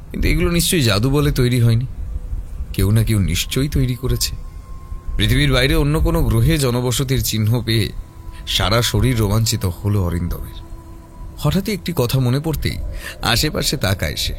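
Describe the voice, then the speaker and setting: Expressive male story narrator, lively pitch. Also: moderate pace, pauses often, slight background noise. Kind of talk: scripted narration of a horror mystery audio story.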